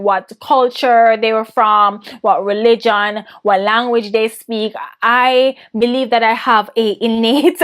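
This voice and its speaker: Jamaican woman interviewee, a touch high-pitched, motivational coach talking warmly about her mission. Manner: earnest, motivational, laughs often. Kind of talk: answering interview questions.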